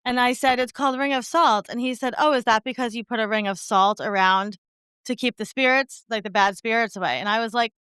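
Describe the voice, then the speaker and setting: A woman's voice: fairly high pitch, brisk, a bit bright, clear, resonant tone, no echo, studio quality. A middle-aged woman hosting a podcast, interviewing in an effusive, informal, conversational way. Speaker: podcast host.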